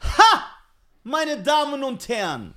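A man's voice: extremely high pitch, huge pitch swings, slow, sharp tone. A man from Iran co-hosting a casual podcast chat, reading entries from a list aloud with mock drama. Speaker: podcast co-host.

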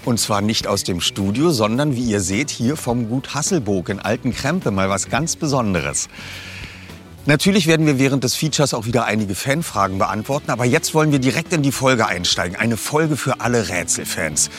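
A man's voice: medium pitch, lively intonation, normal speed, long pauses, fairly bright tone, faint music behind. A man presenting scripted material in an upbeat, informal way, speaking directly to his listeners as a host. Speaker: podcast host and ad reader.

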